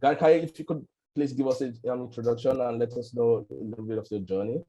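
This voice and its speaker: A man moderating an online panel over a video call, relaxed and leaning on "you know" as he talks. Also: mid-range voice, fast, highs cut off. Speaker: webinar moderator.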